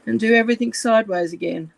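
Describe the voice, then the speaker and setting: Croaky woman chatting on a live stream. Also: fairly high pitch, fast, dry recording. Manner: chatty and apologetic while fixing her camera. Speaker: live stream craft demonstrator. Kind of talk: casual live stream chat. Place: room at home. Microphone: phone camera.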